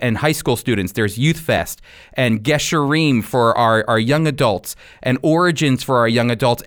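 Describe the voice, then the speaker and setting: A man's voice: mid-range voice, clean tone. A man hosting a radio ministry program, speaking directly and encouragingly to listeners as he gives announcements.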